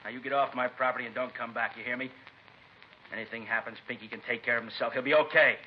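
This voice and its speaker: A man's voice: mid-range voice, steady tone, fast talker, lightly acted. An actor playing a belligerent, protective middle-aged father with combative, slangy talk in an old radio crime drama. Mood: angry. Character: belligerent, protective father of a teenage gang leader. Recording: muffled old radio recording, faint noise.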